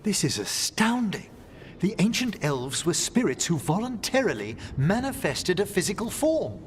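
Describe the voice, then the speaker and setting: An actor playing a scholarly, fascinated mage in a fantasy video game, speaking formally and precisely. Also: male voice, sits high, big pitch swings, moderate pace, a little hiss or hum. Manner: formal, articulate, erudite.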